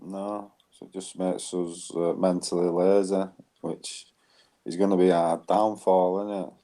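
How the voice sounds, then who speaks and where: A man co-hosting a relaxed conversation, his voice sitting very low. He speaks in loose, opinionated phrases and often closes with a questioning tag. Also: unhurried. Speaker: co-host. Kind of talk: casual conversational talk show.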